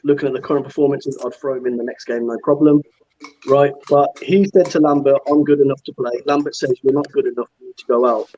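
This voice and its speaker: A man's voice: medium pitch, dark tone, dull audio. A man in his thirties, a fan guest on a football chat show, giving blunt, rambling opinions. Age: 30s.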